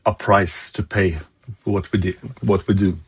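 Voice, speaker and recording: A man from Norway with a Norwegian accent, giving a devotional sermon in English, his second language, pausing often to search for words. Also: low-pitched, level tone, breathy edge. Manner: halting, searching for words, many fillers. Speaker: lay preacher.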